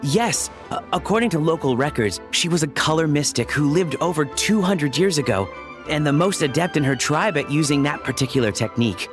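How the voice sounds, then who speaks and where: Man playing a higher-voiced, scholarly researcher. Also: expressive, brisk, over music, close to an everyday voice. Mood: absorbed, explanatory. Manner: precise, scholarly storytelling. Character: keen, courteous male researcher of ancient ruins. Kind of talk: video game voice acting, scripted exposition.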